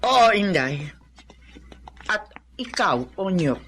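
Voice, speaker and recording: A woman's voice: mid-range voice, sing-song, takes it slowly, a bit bright, slight background noise. An actress playing a middle-aged, domineering, falsely sweet Filipino stepmother in a radio drama. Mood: commanding. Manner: falsely sweet, condescending, self-important. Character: scheming, domineering stepmother taking over the family company.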